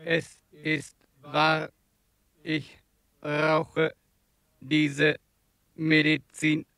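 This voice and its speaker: An actor playing a gentle, wounded alien visitor in a children's audio drama, halting and monotone, with a creaky voice. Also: male voice, a little high, no room sound, exaggerated voice. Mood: weak, grateful. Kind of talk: 1980s German children's audio drama acting.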